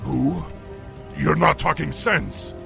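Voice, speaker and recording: An actor playing a noble, resolute young orc war chief in a video game, with a deep gravelly voice and a weighty, earnest, commanding manner. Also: male voice, takes it slowly, over music, heavily acted. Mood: urgent, outraged. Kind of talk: video game cinematic acting.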